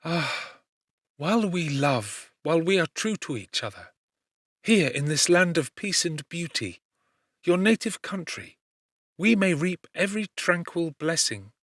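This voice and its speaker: A male narrator voicing a gentle, grieving young woman in an audiobook, pleading and earnest. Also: on the high side, sing-song, unhurried, dry recording, pitched above the performer's own voice, exaggerated voice. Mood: consoling. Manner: pleading, earnest, softly lifted voice. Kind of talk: scripted audiobook reading. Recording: dry close studio.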